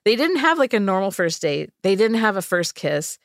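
A woman hosting a podcast, telling a story in casual chat, animated and jokey. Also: medium pitch, moderate pace, dry recording, studio quality. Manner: animated, jokey, mimics voices.

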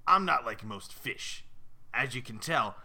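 Man voicing a raspy, pushy New York goldfish. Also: medium pitch, lively intonation, a little slow, pushed and bright, full character voice. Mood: boastful, persuasive. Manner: streetwise, cajoling, calls the listener kid. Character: big talking goldfish, pushy, scheming, wheedling.

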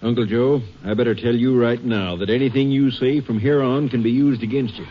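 An actor playing a stern, suspicious small-town Texas sheriff, blunt and pressing, in a 1950s radio drama. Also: male voice, mid-range voice, fairly even intonation, brisk, natural voice. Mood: stern interrogation. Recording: dull, faintly noisy old radio broadcast.

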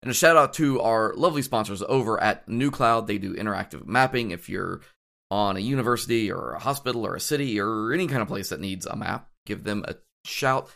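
A man hosting a casual podcast, a bit hoarse after shouting at a game, chatting in a rambling way with many ums and restarts. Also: on the low side, expressive, a little fast. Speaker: podcast host.

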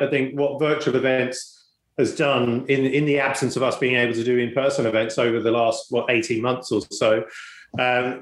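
Male interview host, mid-range voice, conversational and halting, echoey room sound. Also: mid-range voice. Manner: halting, repeats words, frequent "you know". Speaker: podcast host.

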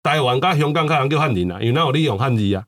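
A man's voice: medium pitch, animated, racing, fairly bright tone. A man with a Taiwanese accent explaining things casually as a talk-show host, often repeating words for emphasis. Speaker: podcast host.

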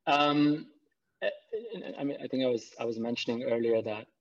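A man's voice: medium pitch, steady tone, moderate pace, muffled recording. A man from Pakistan, a guest in a casual conversation, talking earnestly and often repeating words as he gathers his thoughts. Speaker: podcast guest.

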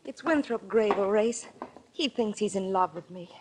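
An actress playing a scheming, smooth wealthy woman in a 1940s radio crime drama, poised and coaxing. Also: medium pitch, animated, normal speed, very noisy, close to an everyday voice. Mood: concerned. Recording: vintage 1940s radio recording.

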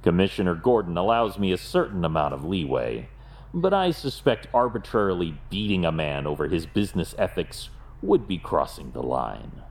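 A man playing a brooding, wealthy vigilante detective in an audio drama, grave and deliberate in his delivery. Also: low-pitched, big pitch swings, slight background noise. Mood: resolute.

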